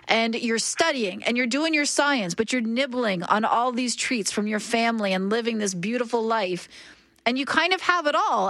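A woman hosting a radio interview who asks leading questions in an animated way. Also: a little high, no echo. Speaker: radio host.

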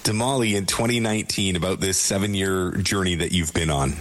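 A man's voice: low voice, a little fast, fairly bright tone. A man from Canada hosting a radio interview, asking questions in a friendly, conversational way. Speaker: radio host.

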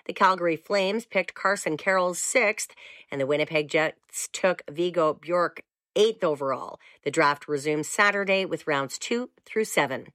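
Give me a woman with a fairly low voice reading radio news, dry and close. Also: fairly bright tone, no room sound. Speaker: newsreader. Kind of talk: scripted newscast reading.

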